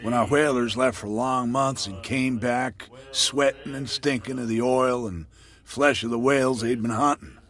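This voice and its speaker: A man's voice: medium pitch, fairly even intonation, unhurried, a bit bright, natural voice. A man playing a wary island barkeeper. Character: gruff middle-aged male island barkeeper. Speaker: bartender.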